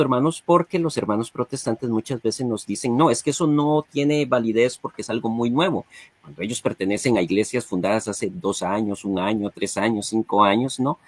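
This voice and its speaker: A man's voice: fairly low pitch, dry recording. A man hosting the talk who comments emphatically and speaks to his listeners as brothers. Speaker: host. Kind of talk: conversational commentary.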